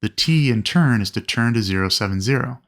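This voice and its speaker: A man narrating an instructional lesson as a flight instructor, explaining things clearly and methodically. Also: mid-range voice, lively intonation, a little fast. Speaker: flight instructor presenting a training video. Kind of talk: instructional narration with acted radio calls.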